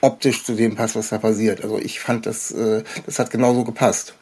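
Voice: mid-range voice, flat delivery, a little fast, mellow, breathy edge. A podcast host chatting casually in an interview, enthusiastic and rambling, often hesitating mid-sentence.

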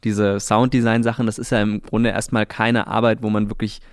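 A man's voice: fairly low pitch, level tone, a little fast, no echo, studio quality. A man interviewing in a conversational way, summing up and analysing what was said. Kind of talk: conversational discussion.

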